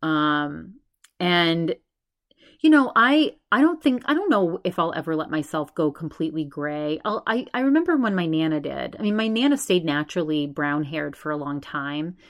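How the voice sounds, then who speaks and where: A woman's voice: low voice, normal speed. A woman in her forties hosting a solo podcast, talking in a chatty, rambling way and speaking straight to her subscribers. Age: 40s. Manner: chatty, rambling, animated, addresses subscribers directly. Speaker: podcast host.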